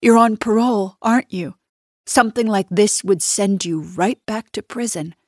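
A woman voicing a wealthy, haughty woman who sneers and accuses loudly. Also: mid-range voice, normal speed, dry recording, clean studio sound, voice pushed up, close to an everyday voice. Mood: sneering. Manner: sneering, loud and triumphant.

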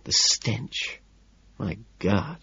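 A man's voice: medium pitch, lively intonation, moderate pace, slightly rough, dry recording, lo-fi sound, voice pushed up. A man acting a cruel, condescending well-off man. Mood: soft contempt. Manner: impatient, lecturing, swinging between soothing and scornful.

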